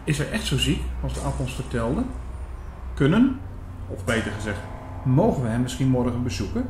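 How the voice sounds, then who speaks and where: Earnest priest-narrator played by a man, medium pitch, scripted storytelling, faint noise behind. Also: very animated, normal speed, darkish tone, natural voice. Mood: earnest, watchful. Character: earnest male priest recounting his investigation. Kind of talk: scripted audio drama narration.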